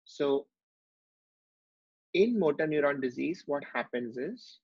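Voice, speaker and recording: A man's voice: fairly high pitch, expressive, slow, darkish tone, breathy edge, no room sound. Man teaching medicine. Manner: explanatory, with frequent fillers and restarts. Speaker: medical lecturer. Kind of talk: whiteboard teaching lecture. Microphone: online class recording. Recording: dull lo-fi dry sound.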